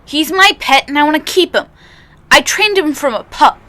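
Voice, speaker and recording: A woman's voice: high voice, animated, moderate pace, sharp tone. A woman playing a proud, stubborn young street boy with slangy talk in an old-time radio drama. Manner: slangy, artless kid talk. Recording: noticeable background noise.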